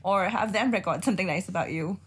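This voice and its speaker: A woman's voice: medium pitch, quick pace, breathy edge. A young woman casually giving candid, self-mocking advice as a guest, with frequent fillers and restarts.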